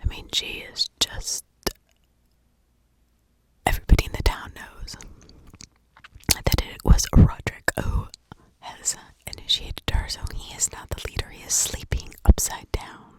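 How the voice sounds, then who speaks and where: A woman telling a true crime story as ASMR in a breathy whisper, in short, halting phrases with pauses.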